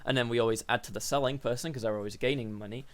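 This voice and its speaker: A young man with a slightly hoarse, croaky voice talks through his coding at a middling pitch. He rambles casually, correcting himself as he goes and filling gaps with ums and likes, like someone recording his screen. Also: steady tone, rapid-fire. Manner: thinking aloud, rambling with fillers and self-corrections. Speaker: programming tutorial host.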